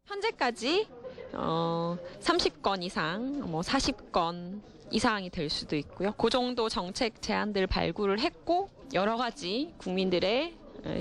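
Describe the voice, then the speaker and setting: A woman official giving unscripted, halting interview remarks. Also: medium pitch. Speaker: forum official. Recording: busy crowd chatter behind.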